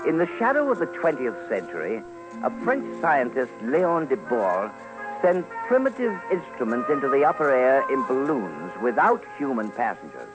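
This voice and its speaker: A man narrates an old-time radio documentary. His mid-range voice moves widely and theatrically, leaning hard on key words. Music plays clearly behind him. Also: medium pitch, wildly swooping pitch, moderate pace, poor audio. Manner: theatrical, emphatic. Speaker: commentator, narrator.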